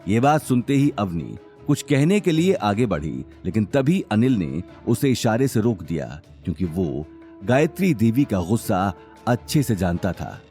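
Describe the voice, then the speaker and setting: Man, story narrator, lowish pitch, animated delivery, dramatic scripted reading, music bed. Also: unhurried.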